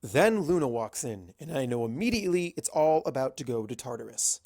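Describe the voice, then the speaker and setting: A man's voice: mid-range voice, big pitch swings, brisk, a little mellow. A man reading a first-person story aloud as an amateur narrator. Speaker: amateur fan fiction reader.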